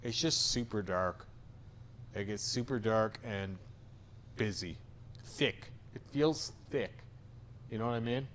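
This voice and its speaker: A man's voice: fairly low pitch, takes it slowly, long pauses, a bit bright, a little hiss or hum. A man with a soothing voice hosting a tattoo reaction show, giving frank, opinionated critiques with casual swearing.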